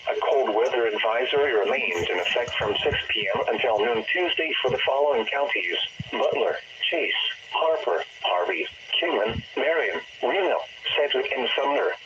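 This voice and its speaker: A synthetic-sounding male announcer reads a formal weather bulletin in a measured, impersonal way over the radio. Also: mid-range voice, animated. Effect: weather radio broadcast. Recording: dull, noisy radio playback in a room.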